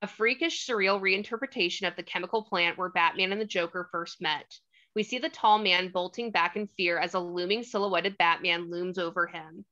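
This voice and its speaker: A woman's voice: on the low side, sharp tone. A woman reading stage directions as the narrator of a screenplay table read. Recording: slightly dull lo-fi audio.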